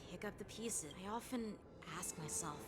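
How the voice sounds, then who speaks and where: A woman's voice: medium pitch, normal speed, background noise, close to an everyday voice. A woman playing an earnest, proud teenage boy swordsman in an anime-style game. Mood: solemn confession. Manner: earnest, solemn. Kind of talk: dramatic anime game voice acting. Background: game music.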